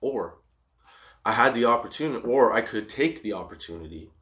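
A high man rambling casually and profanely about getting past setbacks, laughing often. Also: expressive, fairly quick, a bit bright, echoey room. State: high on cannabis.

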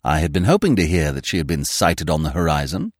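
A man's voice: rumbling low, very animated, fast talker, no echo, clean studio sound, heavily acted. A man voicing a languid, suave young male poet who speaks with grand politeness.

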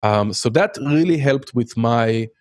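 A man's voice: medium pitch, expressive, a little slow, a little mellow. A man in his thirties from Israel with an Israeli accent, chatting as an interview guest and telling stories with many fillers and restarts. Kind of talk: casual interview chat.

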